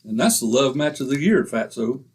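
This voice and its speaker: An actor playing a boastful, wisecracking husband in a 1940s-style radio comedy. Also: male voice, medium pitch, animated, normal speed, a little mellow, breathy edge. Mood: brash. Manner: brash, wisecracking. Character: boastful, blustering middle-aged husband.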